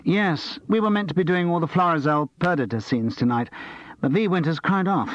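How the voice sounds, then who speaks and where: A man's voice: fairly high pitch, fairly quick, no room sound, voice pushed up, lightly acted. Guy doing a vain young drama-club actor, showy and full of himself, dry muffled audio. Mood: condescending. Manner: self-important, theatrical.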